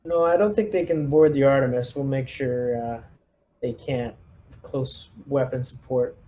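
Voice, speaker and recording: Man planning moves, soft mellow voice. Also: mid-range voice, a little slow, slight background noise. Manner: collaborative, thinks aloud, hesitant phrasing. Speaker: player in a tabletop roleplaying game, leading the squad. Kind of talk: casual in-character tactical planning.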